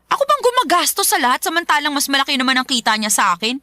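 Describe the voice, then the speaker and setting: A young woman in love in a radio drama. Her high, bright voice swings in pitch as she banters candidly, recorded close and dry. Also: very fast, no room sound, full character voice. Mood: exasperated, playful. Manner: expressive, bantering. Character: lovestruck young career woman, playful and candid.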